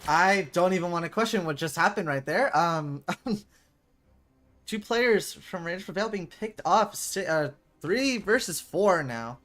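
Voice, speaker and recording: An eighteen-year-old man casting a competitive VR shooter match alone, rambling with lots of fillers between rounds and jumping loud and high when plays happen. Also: animated, unhurried. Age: young adult. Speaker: esports caster. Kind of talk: solo play-by-play game commentary with viewer chat. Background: game music.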